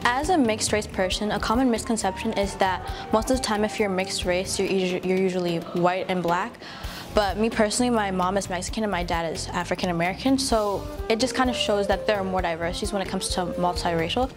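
A teenage girl speaks thoughtfully in a school interview, at a middle pitch. Music plays clearly underneath. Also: brisk. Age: teens. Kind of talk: casual interview answer.

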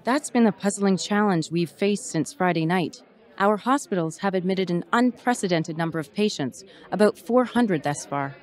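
An actress playing a weary but dutiful emergency management officer giving a formal radio interview. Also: female voice, on the low side, animated, normal speed, natural voice. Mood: measured, official.

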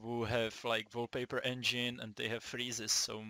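A young man from the Czech Republic with a Czech accent, giving a casual, halting gaming tutorial, swearing often and restarting his sentences. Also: mid-range voice, flat delivery, bright, forceful tone, dry recording. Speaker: gaming video maker.

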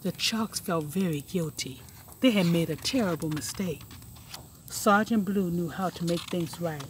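A woman reading a children's picture book aloud, expressively and with deliberate emphasis. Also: fairly low pitch, slight background noise. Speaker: storybook reader. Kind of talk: children's read-aloud narration.

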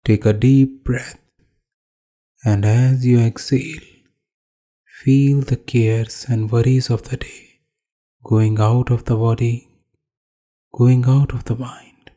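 A man with an Indian accent leading a guided meditation as a yoga instructor, calmly giving short instructions with pauses between phrases. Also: medium pitch.

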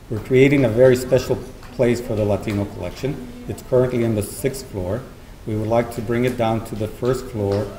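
Middle aged guy doing welcome speech at podium, soft mellow tone, echoey hall w faint noise. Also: fairly low pitch. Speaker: library official giving welcome remarks at a podium. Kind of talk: formal public speech with thanks and introductions. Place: large meeting hall with seated audience, indoors. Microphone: podium gooseneck microphone. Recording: roomy auditorium sound, faint noise.

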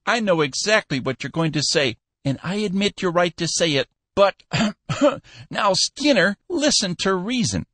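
A crusty old tycoon with a shrill, piping voice pitched far above a normal man's, bossy and wheedling by turns, as a male narrator puts him on. The delivery swoops up and down, close and dry with no room sound. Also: big pitch swings, measured pace, fairly bright tone, no room sound, full character voice. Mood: scolding, cajoling. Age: older. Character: shrill, feisty old businessman, crusty but soft-hearted.

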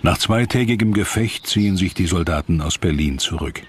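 A man narrating a scripted historical documentary in a measured, dramatic way. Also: sits low, heavy background noise. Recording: dramatic music behind the voice. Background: music.

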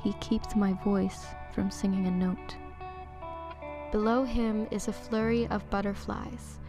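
Creaky-voiced young woman reciting poetry. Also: medium pitch, fairly even intonation. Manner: measured, rhythmic recitation. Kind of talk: spoken poem narration. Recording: plucked guitar music behind. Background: guitar music.

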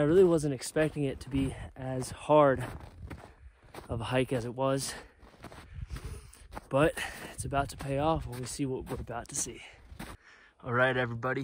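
A man's voice: mid-range voice, fairly bright tone. A young man in his twenties chatting upbeat to a phone camera while hiking a snowy mountain trail, a little out of breath. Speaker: vlogger. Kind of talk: casual hiking vlog to camera. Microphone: phone held at arm's length.

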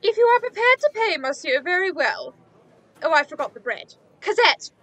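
Actress doing a mean greedy innkeeper lady, high voice that swoops all over, bossy and irritable. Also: big pitch swings, moderate pace, a bit bright, heavily acted, rough recording. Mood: grasping. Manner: scolding, fawning toward paying guests. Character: harsh, greedy female innkeeper, irritable and bullying. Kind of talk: radio drama acting.

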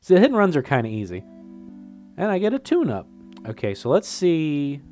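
A young man, sniffling from a cold, talks his way through a game with a lively, swinging voice, reading its text aloud and swearing when it confuses him. Faint game music plays behind him. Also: fairly high pitch, moderate pace. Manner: swearing, laughing, easily flustered. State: getting over a cold, sniffling. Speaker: gameplay commentator. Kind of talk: casual let's play commentary, reading game text aloud.

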